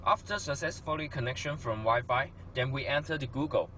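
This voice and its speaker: A man presents a product walkthrough from a script. His voice sits at an ordinary middle pitch, and faint background noise runs under the recording. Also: brisk, bright, forceful tone. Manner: non-native English phrasing. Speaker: product demonstrator. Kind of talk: scripted product demo narration.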